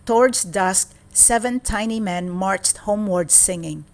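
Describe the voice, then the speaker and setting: Measured woman reading a fairy tale aloud. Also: mid-range voice. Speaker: storyteller. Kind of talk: read-aloud children's fairy tale narration.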